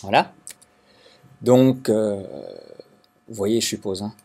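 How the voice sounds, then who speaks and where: A man from Belgium teaching a software class to students, explaining step by step with frequent restarts and hesitations. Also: on the low side, steady tone, takes it slowly, breathy edge. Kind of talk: recorded classroom lecture.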